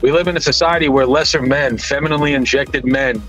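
A man's voice: medium pitch, fast, fairly bright tone, soft background music. A man in his forties on a video call podcast, arguing bluntly and provocatively, with plenty of swearing. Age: 40s. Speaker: podcast panelist. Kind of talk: heated debate on a video call. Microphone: studio mic on a video call.

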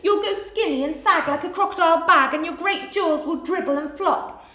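A woman voicing a small cheeky monkey in a children's storybook, chattering and pleading in an excitable sing-song way. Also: very high voice, normal speed, pitched above the performer's own voice. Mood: pleading, gleeful. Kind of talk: expressive children's storybook read-aloud. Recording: echoey room.

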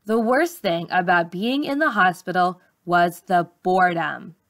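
Woman, book narration, bright crisp-edged tone, middle pitch, measured phrasing, dry sound. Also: dry recording. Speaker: teacher reading a book aloud. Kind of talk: audiobook-style narration of a memoir.

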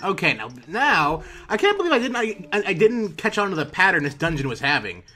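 A man giving casual, rambling gameplay commentary as a let's player, halting and repeating words as he goes. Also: high voice, sing-song, pushed and bright. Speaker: let's player hosting a video game series. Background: video game music.

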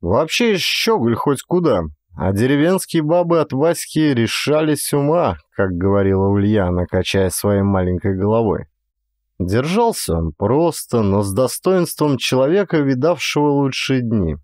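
A man reading a literary story as an expressive audiobook narration. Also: mid-range voice, unhurried, no room sound, studio quality.

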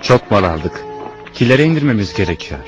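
A man's voice: fairly low pitch, very animated, normal speed, faint music behind. A man voicing a prophet's loyal, pious grown son in a religious cartoon, speaking earnestly and respectfully but firmly. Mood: matter-of-fact. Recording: dull lo-fi cartoon soundtrack.

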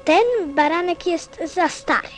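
Radio play: actress as a childlike, curious little boy prince, piping high voice with animated melody, earnest and insistent. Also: measured pace, soft background music. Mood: fussy.

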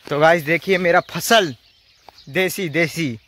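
A man's voice: on the high side, slow, fairly bright tone. A young man chatting casually to viewers in a vlog, addressing them informally as brothers. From rural India. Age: young adult. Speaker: vlogger. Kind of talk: casual vlog chat to camera. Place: outdoors in village fields and tented cricket ground. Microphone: phone at arm's length. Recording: noisy outdoor phone recording.

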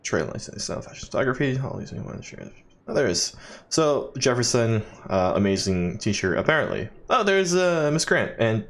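A man's voice: mid-range voice, very animated, moderate pace. A man doing casual, joking let's play commentary, with swearing and muttered asides.

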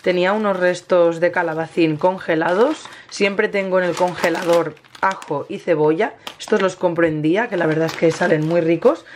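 A woman in her thirties with a Spain Spanish accent, slightly hoarse from a cold, casually narrating a recipe as she cooks in her home kitchen. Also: fairly low pitch, normal speed, hardly any pauses. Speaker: home cooking vlogger. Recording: noisy kitchen with sizzling pan. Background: frying and stirring sounds.

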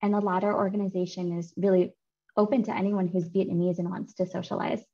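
A woman in her thirties presents her research in a clear, measured academic manner. Her voice is mellow and mid-pitched. The video call audio sounds slightly muffled. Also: flat delivery. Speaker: seminar speaker, author presenting research. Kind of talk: academic talk over slides. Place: home room.

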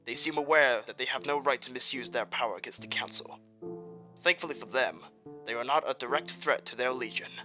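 An actor playing a stern, authoritarian father who rules a dark realm, speaking formally and solemnly in an audio drama interview. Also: mid-range voice, a little fast, faint music behind.

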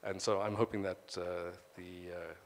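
A man's voice: low-pitched, flat delivery, measured pace. A man moderating a conference panel, speaking hesitantly with many fillers into a handheld mic. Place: conference stage. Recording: long hall reverb.

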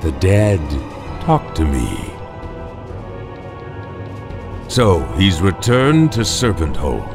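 Slow, deep, sinister necromancer. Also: male voice, very animated, heavily acted. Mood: gleeful. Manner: sly, mocking, savoring words. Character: sinister undead necromancer, male, gleeful about death. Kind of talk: video game cutscene acting. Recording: music behind the voice.

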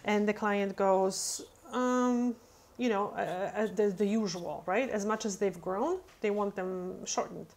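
A woman's voice: mid-range voice, unhurried, a little mellow. Nail tech lady, english isnt her first language, chatty, lots of you know and um, webcam. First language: not English. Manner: chatty, acts out client dialogue, many fillers. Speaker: nail technician answering questions. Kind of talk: casual explanatory talk. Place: indoor room, webcam over screen.

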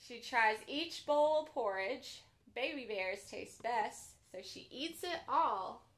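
A woman's voice: on the high side, lively intonation, slow, fairly bright tone. A young woman hosting a children's storytime, reading a picture book aloud expressively and addressing her young listeners directly. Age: young adult. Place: bedroom, sitting up in bed.

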